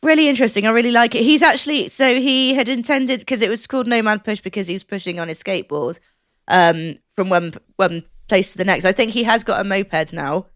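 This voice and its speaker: A woman's voice: medium pitch, brisk, a bit bright, no room sound, studio quality. A woman chatting casually as a podcast co-host, explaining things in an enthusiastic, rambling way.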